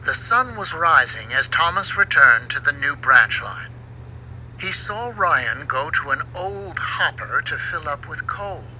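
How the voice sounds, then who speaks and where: A man reading a children's storybook aloud in an expressive, dramatic way. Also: on the high side, unhurried, low-quality recording. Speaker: book narrator. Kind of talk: scripted storybook narration for children. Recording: home recording with faint background noise.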